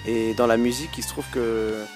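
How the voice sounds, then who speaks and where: A man's voice: medium pitch, steady tone, fairly quick, over music. A man in his thirties giving thoughtful interview answers as a guest musician, hesitating often with fillers and restarts.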